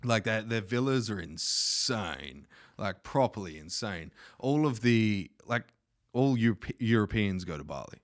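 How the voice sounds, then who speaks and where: A man with a lowish voice that swings widely in pitch, talking loosely and profanely. He jokes and swears his way through a relaxed podcast chat, recorded dry and close. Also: unhurried, dry recording. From Australia. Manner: crude, swears a lot, loose and joking. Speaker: podcast host. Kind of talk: casual profane interview chat.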